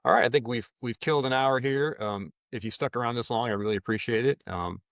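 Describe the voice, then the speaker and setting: A man hosting a casual podcast, talking in a relaxed, rambling way and swearing now and then. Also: mid-range voice, fast talker, no echo, studio quality. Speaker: podcast host. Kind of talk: casual chat.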